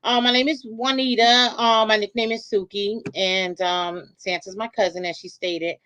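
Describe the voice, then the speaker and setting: Relaxed woman guest on a podcast. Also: medium pitch, moderate pace, dull audio. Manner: relaxed, affirming, filler-heavy. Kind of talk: casual chat.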